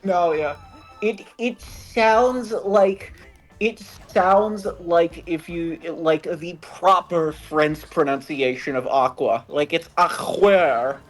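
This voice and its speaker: A man's voice: on the high side, slow pace, soft background music, low-quality recording. A man bantering casually as a co-host, with a crude, rambling way of joking. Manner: crude, rambling comic riffing.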